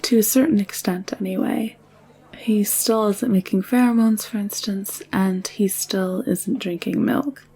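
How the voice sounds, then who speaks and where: A woman playing a gentle, protective alien caretaker in an intimate audio roleplay, speaking softly and soothingly. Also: mid-range voice, steady tone, unhurried, slightly rough. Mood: explaining, gentle.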